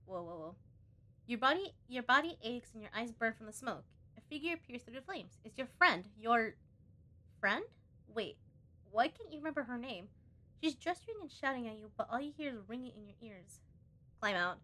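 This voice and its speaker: A woman's voice: a little high, animated, moderate pace, bright, forceful tone. A woman game streamer chatting casually and reactively, reading on-screen text aloud and muttering asides to herself.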